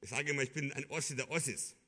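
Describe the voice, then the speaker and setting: A man from Kyrgyzstan preaching a sermon in an earnest, halting way, with many fillers and restarts. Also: medium pitch, pushed and bright.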